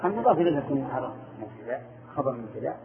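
A man's voice: medium pitch, sing-song, rapid-fire. A man teaching Arabic grammar, quizzing his students and confirming their answers with short replies. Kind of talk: classroom questioning of students. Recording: echoey room over a tinny phone-like line.

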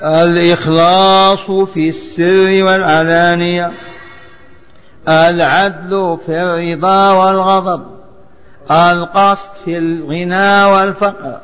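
High-voiced man chanting a religious lesson. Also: steady tone, takes it slowly, fairly bright tone, faint music behind. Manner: chants and repeats the text in a sing-song. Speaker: religious teacher. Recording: long hall reverb.

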